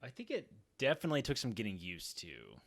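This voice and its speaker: A man's voice: fairly low pitch, huge pitch swings, brisk, vocal fry, a bit bright, dry recording. A man in his thirties talking casually and in a rambling way as a podcast co-host, close on a studio mic. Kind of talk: casual roundtable discussion. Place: small home room, indoors. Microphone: boom-arm studio mic up close.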